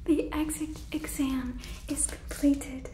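A woman's voice: high voice, fairly even intonation, slow pace, dark tone. A young woman playing an earnest, awkward alien in an ASMR sitcom, with stilted, formal, whispery delivery. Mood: encouraging, proud. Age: young adult. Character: earnest, awkward female alien examining a supposed cow. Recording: roomy echo, faint background noise.

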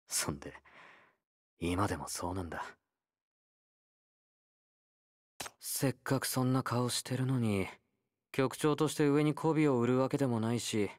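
A man's voice: fairly low pitch, no room sound, clean studio sound, natural voice. A male actor playing a laid-back young convict fighter in a game, blunt, teasing and nonchalant, with a drawl. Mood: soft, fond. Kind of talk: Japanese game character voice lines.

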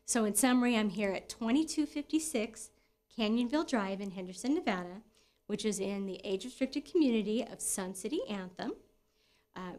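A middle-aged American woman with a fairly high voice gives a house tour as a real estate presenter. She lists features in an informal, conversational way, like semi-scripted sales talk.

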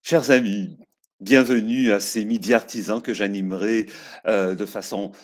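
A man's voice: fairly low pitch, steady tone, a little slow. An older man hosting an interview over a video call, effusive and full of hesitations. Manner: warm, effusive, frequent hesitations. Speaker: host. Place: stone-walled room indoors.

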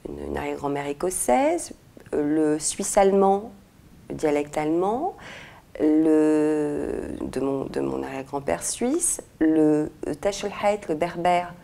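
Author in her forties, low mellow voice, searches for words, lapel mic. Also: gravelly. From France and Morocco. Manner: thoughtful, many hesitations and restarts. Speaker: author interviewed. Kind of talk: reflective interview answers. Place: indoor room with red walls, seated in armchair.

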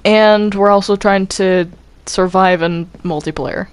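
A woman's voice: mid-range voice, steady tone, a little slow, clean tone, no echo. A woman chatting casually as she hosts a multiplayer game roleplay session. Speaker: host of a Minecraft roleplay series. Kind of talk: casual gaming chat.

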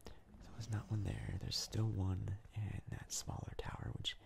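A man speaks in a breathy whisper that often breaks into heavy vocal fry. His low voice moves around in pitch as he gives casual, halting ASMR commentary over gameplay. The delivery stays hushed and soft-spoken. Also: big pitch swings. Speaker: gaming video host.

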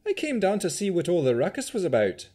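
A jaunty female adventurer from a fantasy tale, acted out by a man. She speaks in a fantasy accent with a lifted, lively pitch. Her manner is breezy, frank and self-assured. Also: fast talker, husky, heavily acted. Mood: unbothered. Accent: Vistani-style fantasy accent.

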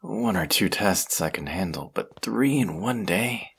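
Very deep, creaky-voiced tired boyfriend, acted by a man. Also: animated, measured pace, slight background noise, heavily acted. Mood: sleepy, affectionate. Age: young adult. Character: tired, overworked, affectionate college-student boyfriend. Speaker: audio roleplay voice actor. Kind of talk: intimate boyfriend roleplay audio acting.

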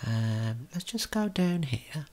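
A man giving a guided ASMR tour in a soft, breathy whisper, gently explaining without hurry. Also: a little high, sing-song, slow pace.

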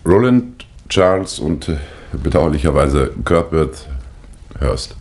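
An actor playing a courteous, formal gentleman motorist in a 1960s German radio crime drama. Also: a bass voice, lively intonation, slow pace, exaggerated voice. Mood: protesting. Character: courteous gentleman motorist caught up in a murder case.

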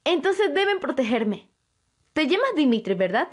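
Woman doing a super high bubbly anime girl, gushy n giggly, voice all over the place. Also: big pitch swings, moderate pace. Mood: bossy, coaxing. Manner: gushing, giggly. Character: bubbly, bold, excitable young girl among vampires. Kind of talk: amateur anime-style audio roleplay acting.

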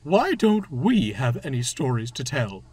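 A man's voice: on the high side, slow, darkish tone, distant mic, voice pushed up. A man voicing a gloomy, genteel female railway coach in a storybook, in a plaintive manner. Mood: wistful.

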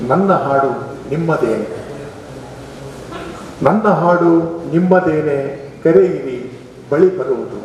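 Slow, high-voiced man reciting poetry. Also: darkish tone. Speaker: poet. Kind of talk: poetry recitation with repeated lines. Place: indoor event hall. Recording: very echoey hall, distant dull mic, faint noise.

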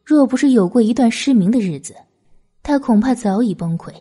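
Bright, lively woman narrating a historical novel. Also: a little high, fairly quick, breathy edge. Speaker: audiobook narrator. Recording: music behind the voice. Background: music.

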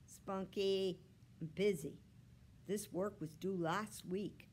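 A woman's voice: medium pitch, steady tone, slow pace, a little mellow, a little hiss or hum, natural voice. A woman voicing an impatient, busy schoolboy in a storybook, exasperated and scolding as he talks to his pet. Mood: busy, dismissive.

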